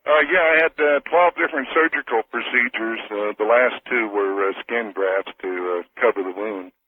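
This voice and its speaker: Husky American man on a phone line. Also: fairly low pitch. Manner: measured, matter-of-fact, pauses between phrases. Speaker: phone-in caller to a radio show. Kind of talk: matter-of-fact personal account by phone. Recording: thin telephone line on radio.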